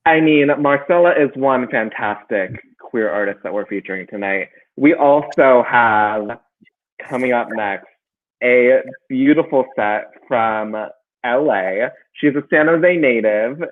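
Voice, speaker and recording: A man's voice: mid-range voice, unhurried. A man co-hosting over a video call, sounding thin and tinny as if through a phone. He talks earnestly, dotting his sentences with ums. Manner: earnest, with many fillers. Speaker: co-host.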